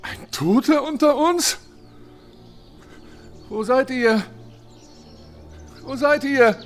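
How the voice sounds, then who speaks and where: A man's voice: very high voice, drawn-out words, faint music behind, exaggerated voice. An actor playing a frightened, bewildered blind man in a radio play, theatrical and fretful. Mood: alarmed. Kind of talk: German radio play acting.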